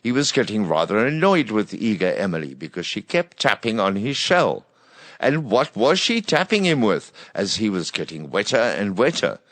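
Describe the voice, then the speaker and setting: Male narrator for children's bedtime tales. Low register, animated expressive reading, dry recording. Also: moderate pace, dry recording. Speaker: storyteller.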